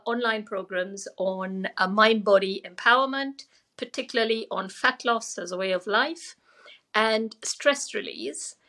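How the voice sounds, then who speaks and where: Middle-aged Sri Lankan-born woman, medium pitch. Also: pushed and bright. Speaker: podcast guest, former doctor and wellness coach. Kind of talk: conversational personal storytelling.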